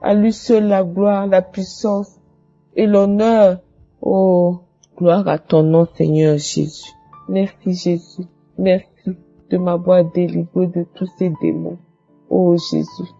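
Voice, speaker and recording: A woman's voice: lowish voice, little pitch movement, slow pace, vocal fry, warm tone, highs cut off, faint music behind. A woman giving a fervent testimony, repeating phrases and breaking into praise. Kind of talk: emotional spoken testimony.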